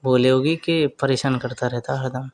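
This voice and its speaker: A man's voice: mid-range voice, fairly even intonation, normal speed, darkish tone. Young man teasing on a private call.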